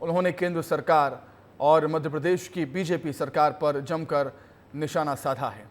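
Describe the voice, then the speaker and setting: A man's voice: fairly high pitch, steady tone, normal speed. A man presents television news in an emphatic, declarative, scripted anchor style.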